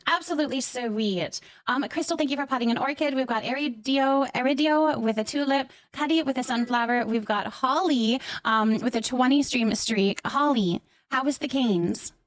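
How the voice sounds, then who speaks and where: A woman's voice: high voice, normal speed, no room sound. A woman livestreamer chatting casually with her viewers, effusive and affectionate, often repeating words and short phrases as she thanks them. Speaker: live streamer hosting a chat.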